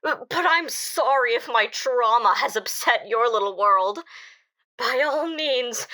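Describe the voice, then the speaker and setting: A woman's voice: on the high side, sharp tone. A woman acting the part of a distraught, defiant and sarcastic woman in an audio drama. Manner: emotional, swearing, bitingly sarcastic. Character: distraught, defiant woman haunted by a traumatic night.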